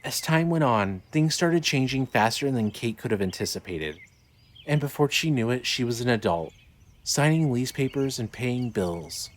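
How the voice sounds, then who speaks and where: A man's voice: mid-range voice, lively intonation, moderate pace. A man reading scripted narration as the narrator and announcer of a fiction podcast.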